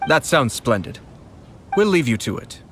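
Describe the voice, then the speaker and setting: An actor playing a polite and playful young male noble commissioner in a game, speaking in a measured way. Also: medium pitch, big pitch swings, a little hiss or hum, lightly acted. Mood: courteous. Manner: courteous, measured, with soft amused laughs. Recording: game audio with clear music behind.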